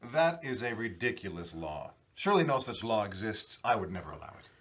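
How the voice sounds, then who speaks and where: An actor playing an imperious, distrustful and tormented sultan in a dramatic, declamatory audio drama style. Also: male voice, fairly low pitch, very animated, brisk, fairly bright tone. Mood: curt, commanding. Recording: music bed behind the voice.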